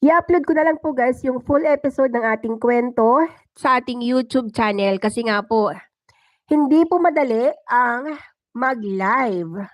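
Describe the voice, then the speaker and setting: A woman's voice: on the high side, a little slow, dry recording, poor audio. A woman with a Filipino accent, working as a storyteller and host on a livestream. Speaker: storyteller and live-streaming channel host. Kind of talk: dramatic first-person story narration; casual livestream chat with viewers.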